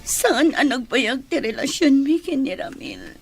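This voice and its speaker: A woman's voice: high-pitched, sing-song, a little slow, vocal fry, full character voice. A woman playing a troubled, pleading young woman in a radio drama, with an Ilocano accent. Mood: anguished, tearful. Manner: tearful, pleading. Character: troubled young woman hiding a painful love secret.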